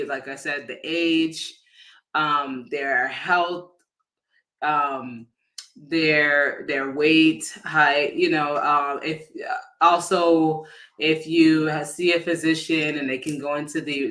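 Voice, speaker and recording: Bright, halting insurance agent man. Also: on the high side. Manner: hesitant, many fillers and restarts; addresses viewers informally. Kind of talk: casual livestream explainer.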